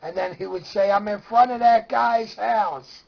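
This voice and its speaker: A middle-aged man with a Brooklyn accent and a raspy, gravelly voice, telling stories casually and excitably, acting out remembered dialogue. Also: high voice, a little slow. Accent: Brooklyn New York. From Brooklyn, New York. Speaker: interview guest. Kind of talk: animated casual storytelling. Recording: dull lo-fi sound.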